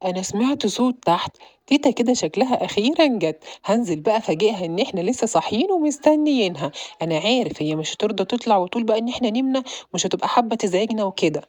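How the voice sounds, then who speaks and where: A woman voicing a young boy who acts the protective big brother, talking fast and bossily. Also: medium pitch, lively intonation, darkish tone, dry recording, natural voice. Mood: alarmed. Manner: bossy, protective. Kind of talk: audio drama.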